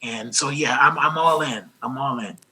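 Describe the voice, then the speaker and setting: Raspy-voiced man, bright and earnest. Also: mid-range voice, rough recording. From Los Angeles. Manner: earnest, grateful, halting with restarts. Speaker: interview guest. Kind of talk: casual interview talk.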